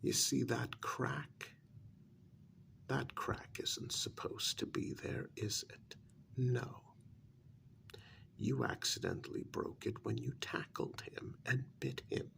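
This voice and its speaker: Gravelly, whispering man in slow ASMR role-play. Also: mid-range voice, huge pitch swings. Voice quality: soft, breathy half-whisper with gravelly creak.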